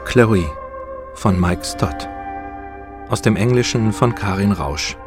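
Slow, low-voiced man announcing over music. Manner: measured, formal. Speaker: radio announcer. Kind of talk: scripted credits announcement. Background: plucked guitar music.

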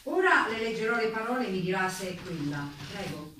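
A formal, persistent prosecutor questioning a witness in a radio drama. The delivery has animated, swinging intonation and a probing manner. It is heard from a distance in a strongly echoing room. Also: female voice, mid-range voice, fairly quick. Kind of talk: radio theatre interrogation. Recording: very echoey distant room, faint noise.

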